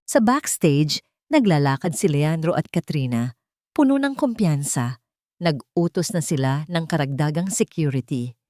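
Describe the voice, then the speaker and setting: A Filipino woman tells a scripted story in a low-pitched voice. Her delivery is measured and dramatic, and the recording is dry and close. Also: expressive, mellow, no echo.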